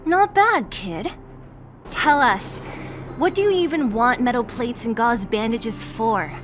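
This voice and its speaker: Brash, high-voiced young slum woman. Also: animated, slow. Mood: scolding. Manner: blunt, sardonic. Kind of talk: video game voice acting. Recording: music under the voice.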